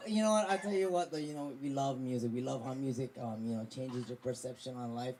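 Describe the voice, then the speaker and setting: Loud playful man doing stand-up. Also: mid-range voice, fast talker, low-quality recording. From Hawaii. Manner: loud, playful, laughs at his own jokes. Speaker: stand-up comedian. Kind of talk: stand-up comedy set with crowd work. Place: comedy club stage with audience. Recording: live club with crowd noise.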